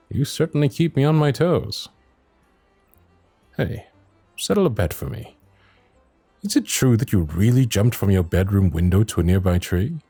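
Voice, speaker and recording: Guy doing a protective knight for fantasy asmr, teasing but earnest, kinda exasperated, very expressive pitch, courtly wording, music under it. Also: slightly rough, exaggerated voice. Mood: amused, teasing. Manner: old-fashioned courtly phrasing, teasing and earnest. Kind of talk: ASMR roleplay acting, fantasy audio drama.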